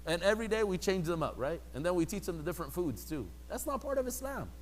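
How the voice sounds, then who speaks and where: A man's voice: high voice, sing-song, fast, slight background noise, poor audio. A man giving a conversational religious lecture, sometimes acting out quoted people in a mocking voice. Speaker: religious teacher.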